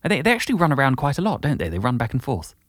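A man co-hosting a podcast in casual chat, wry and gently teasing. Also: medium pitch, wildly swooping pitch, racing, no room sound, studio quality. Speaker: podcast co-host.

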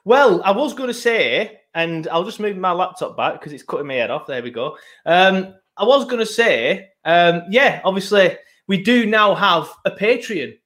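Higher-pitched British man, matey podcast host. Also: lively intonation, normal speed, fairly bright tone. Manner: rambling, matey. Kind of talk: casual chat.

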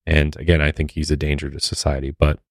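A man with an extremely low, even voice talks as a podcast host in a serious, measured way. The sound is dry and close, with very little room in it. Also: gravelly, dry recording. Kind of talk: conversational true-crime podcast talk.

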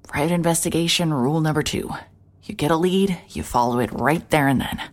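An actress playing a hard-hearted private investigator, narrating a noir audio drama in a terse, deadpan, brooding manner. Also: female voice, low-pitched, a little fast, lightly acted. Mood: focused. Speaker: narrator and lead character. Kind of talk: first-person noir scripted narration.

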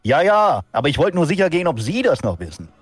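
A man voicing a bumbling cockroach guard, halting and repetitive, with an odd put-on accent as he bluffs earnestly. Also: a little high, sing-song, husky. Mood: blustering.

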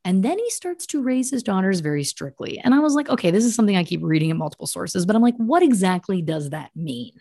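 A woman's voice: medium pitch, sing-song, fast talker, vocal fry, few pauses, mellow, no room sound, studio quality. A woman hosting a podcast, retelling a story as mock-serious true crime in an emphatic, conversational way with asides. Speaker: podcast host telling a story.

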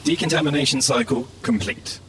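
A woman's voice: very deep voice, a little fast, distant mic, noisy background. A woman playing an obliging, efficient spaceship computer in a sci-fi audio comedy, speaking in precise procedural phrases. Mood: calm, efficient.

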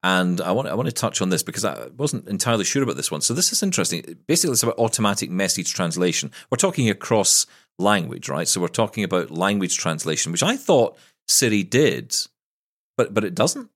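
A man hosting a podcast interview, with a low, deep voice. His intonation moves up and down a lot, and he sounds curious, thinking aloud as he works his questions out. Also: very animated, brisk, fairly bright tone. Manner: inquisitive, thinks aloud with restarts. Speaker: podcast host. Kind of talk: conversational interview.

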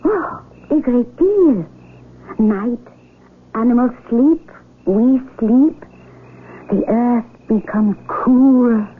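A woman's voice: a little high, extremely slow, long pauses, breathy edge, heavily acted. A woman acting in a radio drama as an earnest, sheltered young blind villager who speaks in simple, formal words. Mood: friendly, wondering. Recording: tinny radio broadcast with faint noise.

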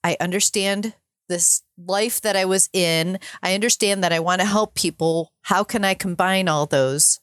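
A middle-aged woman with a calm, soothing, measured way of talking, sharing her personal story as an interview guest. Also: on the low side, no room sound, clean studio sound. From northern climate region. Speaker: podcast guest.

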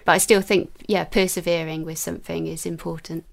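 A soft-spoken woman with a gentle Welsh lilt talks openly as an interview guest. She laughs easily between thoughts and keeps a relaxed, friendly pace. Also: fairly low pitch, a little fast, gravelly. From Wales. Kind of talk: casual interview chat.

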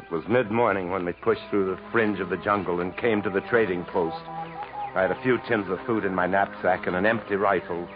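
An actor playing a bitter, sardonic, fatalistic jungle wanderer in 1950s American radio drama style, dramatic and mocking. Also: male voice, sits low, fairly even intonation, a little fast, over music, natural voice. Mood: grim narration. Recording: muffled, noisy 1950s radio recording.

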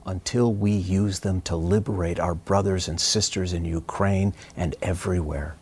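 A man's voice: low-pitched, steady tone, normal speed, a little mellow, slight background noise. A man giving short, earnest, rhetorical commentary that sounds like a sermon.

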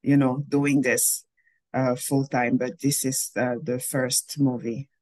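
A woman's voice: extremely low pitch, little pitch movement, dark tone, no room sound. Woman from Cameroon on a video call. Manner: thoughtful, pauses and rephrases mid-sentence. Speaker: filmmaker guest. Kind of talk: casual interview answers.

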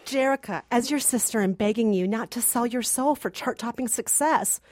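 A woman playing an excitable teenage girl, a devoted sister, in a spoof radio play. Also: fairly high pitch, normal speed, no echo, clean studio sound, pitched above the performer's own voice, natural voice. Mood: excited, pleading.

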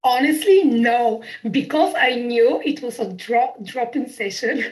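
A fairly high-voiced woman in her thirties speaks with a Bulgarian accent. She chats easily as an interview guest, bubbly and quick to laugh at herself. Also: low-quality recording. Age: 30s. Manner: bubbly, laughs often, self-deprecating. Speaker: podcast guest. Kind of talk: casual interview chat.

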